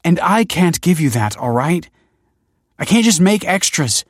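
A man's voice: lowish voice, normal speed, husky, dry recording, studio quality. A man voicing a firm, tense married woman in an audiobook, insistent and emphatic. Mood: snapping, alarmed. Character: firm, tense wife, a fertility director.

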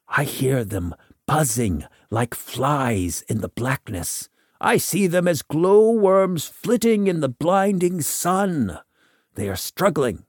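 A man's voice: on the high side, darkish tone, no echo, clean studio sound, pitched above the performer's own voice, exaggerated voice. A man voicing a drunken, visionary mystic in a dramatic story reading, grandiose, feverish and full of portentous exclamation. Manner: declamatory, exclaiming, portentous rhetoric. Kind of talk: dramatic literary audiobook character reading.

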